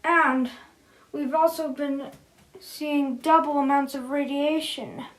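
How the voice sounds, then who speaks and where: A woman reading a scripted mock emergency broadcast as its announcer, in a halting, amateur way with pauses mid-sentence. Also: high voice, fairly even intonation, poor audio. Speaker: emergency broadcast announcer in a hobby scenario video.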